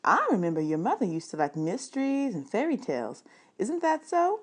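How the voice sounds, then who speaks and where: A woman voicing a smiling, kindly librarian in a storybook, chatting to a boy in a gushing, encouraging, drawn-out way. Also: mid-range voice, huge pitch swings, husky, voice pushed up. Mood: warm, delighted.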